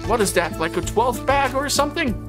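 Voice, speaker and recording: A woman's voice: a little high, animated, a little fast, music in the background. A woman casually commenting on her farming game, rambling and tripping over words with joking asides. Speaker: gameplay commentator. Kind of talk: casual let's-play commentary. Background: game soundtrack.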